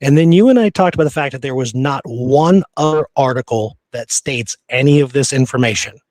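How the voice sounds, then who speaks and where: A man's voice: mid-range voice, a little fast, a little mellow, dry recording. A man hosting a history podcast, talking casually and emphatically and often checking for agreement. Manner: informal, emphatic, seeks agreement with "right?".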